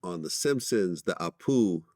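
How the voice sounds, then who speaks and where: An American man interviews in a fairly low voice with very animated intonation. His style is casual and conversational. The sound is dry, with little room in it. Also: a little slow, no echo.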